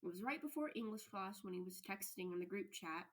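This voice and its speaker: A woman reading fan fiction aloud as expressive story narration. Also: mid-range voice, a little fast. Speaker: fan fiction podfic reader.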